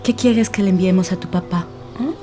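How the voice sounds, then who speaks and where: A woman's voice: medium pitch, fairly quick, music in the background, lightly acted. An actress playing a taunting, cruel female kidnapper in an audio drama.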